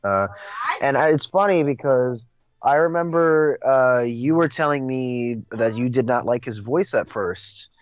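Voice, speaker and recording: A man with a medium-pitched voice gives his take in casual chat, often pausing and hesitating. The recording is very dry and a bit dull, with soft music behind him. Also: smooth, dry recording. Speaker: co-host in a movie discussion. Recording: dull lo-fi audio, music bed.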